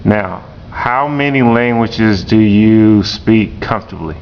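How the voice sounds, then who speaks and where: A man, a teacher, interviewing a student slowly and repeating each answer back. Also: creaky. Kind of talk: classroom interview. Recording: dull lo-fi recording with rumble.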